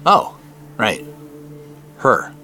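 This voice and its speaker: An actor playing an awkward, apologetic man in an audio drama, speaking in a halting, naturalistic way. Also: bright, forceful tone, faint music behind, full character voice. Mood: hesitant, concerned. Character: awkward, apologetic man investigating a family mystery.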